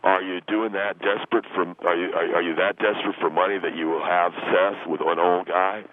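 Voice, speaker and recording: Low-pitched, indignant young guy played by a man phoning a radio comedy show; halting, crude, self-righteous, on a thin, hissy line. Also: fairly even intonation, natural voice. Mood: indignant preaching. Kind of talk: improvised radio comedy call-in. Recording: phone-like radio line with hiss.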